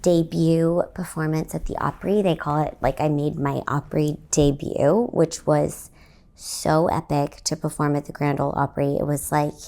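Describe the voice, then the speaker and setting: A woman's voice: deep voice, level tone, moderate pace, creaky, mellow. A young woman from Texas, a comedian hosting her own podcast, telling a story in a rambling way with lots of fillers. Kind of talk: casual solo podcast storytelling.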